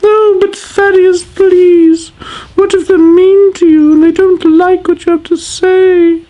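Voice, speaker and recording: Sadeas, a narrator doing a shrill wailing queen. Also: level tone, slow pace, warm tone, rough recording. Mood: pleading. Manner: shrill, pleading, theatrical. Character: melodramatic wailing queen wife, woman.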